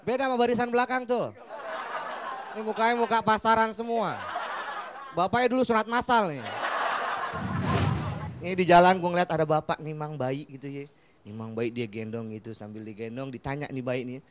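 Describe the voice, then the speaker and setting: A man's voice: brisk, long pauses. A young man doing stand-up on a TV studio stage, teasing the audience on a handheld mic. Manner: teasing the audience, acting out quoted people in higher voices. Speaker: stand-up comedian. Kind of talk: stand-up comedy set. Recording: laughing studio crowd, background noise.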